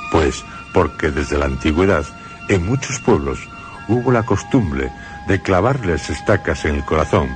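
Male radio storyteller, Castilian accent. Extremely deep, dramatic, rhetorical, with clear musical bed. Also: big pitch swings, normal speed, over music. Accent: Castilian Spanish. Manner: theatrical, rhetorical questioning. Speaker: radio presenter and narrator. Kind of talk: dramatized scripted radio narration.

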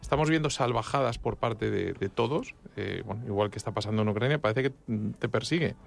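A man's voice: on the low side, brisk, gravelly, slight background noise. A Spanish man hosting a food radio show, chatting casually and joking, with frequent restarts. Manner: joking, rambling with many restarts. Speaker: radio host and food critic.

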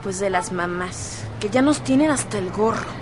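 A woman's voice: medium pitch, lively intonation, moderate pace, background noise, lightly acted. An actress playing a dramatic, defiant teenage girl with a Mexican accent, complaining emphatically in a radio soap. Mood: indignant. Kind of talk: radio soap opera acting.

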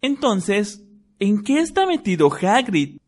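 A man's voice: high voice, very animated, takes it slowly, pitched above the performer's own voice, exaggerated voice. A man reading a story, voicing a bossy, studious schoolgirl who lectures her friends. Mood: curious. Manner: insistent, lecturing. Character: bossy studious schoolgirl, anxious know-it-all.

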